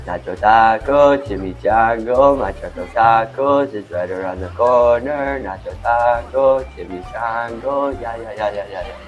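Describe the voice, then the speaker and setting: Radio play role: cheerful, theatrical food truck owner played by a man, earnest and boastful, mid pitch, faint music underneath. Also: unhurried, hardly any pauses, dull audio, lightly acted. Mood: singing proudly. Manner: earnest, theatrical, formal wording. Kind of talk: amateur radio play acting.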